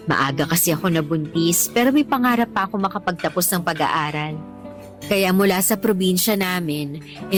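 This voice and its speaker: A woman's voice: fairly low pitch, natural voice. A woman acting as a candid, talkative teacher and single mother on a date in a Tagalog radio drama. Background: background music.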